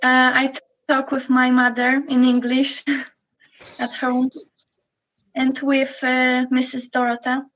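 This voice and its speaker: Slow, flat-voiced young woman student. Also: sits high, rough recording. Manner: brief, shy answers. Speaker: student learning English. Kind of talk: casual conversation.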